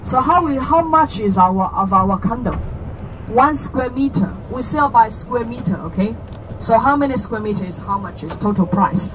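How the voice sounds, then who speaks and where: A woman's voice: a little high, lively intonation, normal speed. A woman in her thirties from China, with a Chinese accent, explaining things to visitors on a walking tour in a chatty way, asking questions and joking. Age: 30s. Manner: chatty and explanatory, asks listeners questions, jokes. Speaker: tour guide. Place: outdoors on a city street. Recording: traffic noise from the street.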